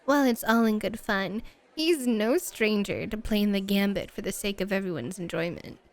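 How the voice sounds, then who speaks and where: A woman's voice: medium pitch, natural voice. A woman playing a flirty, dominant and playful hostess at a bunny club in an ASMR roleplay, teasing and drawing out her words coquettishly. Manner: teasing, coquettish, drawn-out purring.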